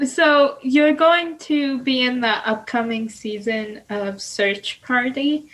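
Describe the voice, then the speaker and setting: A woman's voice: high-pitched, slow, a bit bright, low-quality recording. A young woman hosting an interview, hesitant with many ums and giggly. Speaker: interview host. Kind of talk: casual interview.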